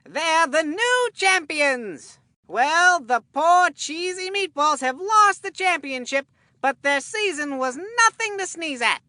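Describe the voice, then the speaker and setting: An actor voicing a folksy, rambling, excitable old grandpa who commentates baseball in a cartoonish children's video game. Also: extremely high pitch, slow, no room sound, studio quality, full character voice. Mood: excited announcing.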